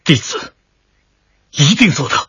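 An actor playing an earnest, determined young martial arts disciple in a radio drama, eager and emphatic. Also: male voice, fairly high pitch, sing-song, a little slow, sharp tone, husky, dry recording, muffled recording, heavily acted. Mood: resolute.

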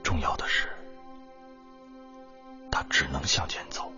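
An actor playing a reflective male teacher, giving an earnest, measured inner monologue in a radio drama. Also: muffled recording, lightly acted. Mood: solemn, hopeful. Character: reflective male teacher narrating his hopes in voice-over. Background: music.

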